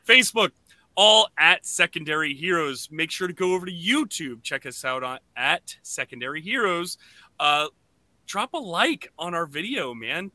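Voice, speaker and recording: A man hosting a podcast, chatting casually and enthusiastically in an animated way. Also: on the high side, normal speed, no echo. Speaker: podcast host.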